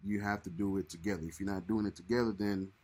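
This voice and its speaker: A man's voice: low voice, steady tone, quick pace, darkish tone. A young man co-hosting a casual livestream with his wife, rambling and repeating himself as he restarts his sentences. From Haiti. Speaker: co-host of a married couple's livestream.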